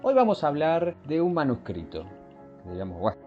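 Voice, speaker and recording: A man hosting a podcast, explaining things informally and often pausing to search for his words. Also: a little high, wildly swooping pitch, highs cut off, soft background music. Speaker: podcast host. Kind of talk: scripted intro and informal explanatory talk.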